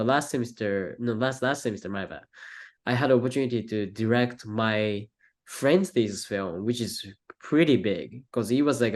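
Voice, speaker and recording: A young man from Japan with a Japanese accent, a native Japanese speaker, answering interview questions in halting English with many fillers and restarts. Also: medium pitch. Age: young adult. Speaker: guest, film student.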